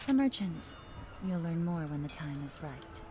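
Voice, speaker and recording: A woman's voice: low voice, sing-song, normal speed, background noise. A woman playing a composed, secretive traveller in a video game, coy and self-assured. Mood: cool, guarded. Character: poised, secretive young woman traveller hiring a bodyguard. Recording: music behind the voice.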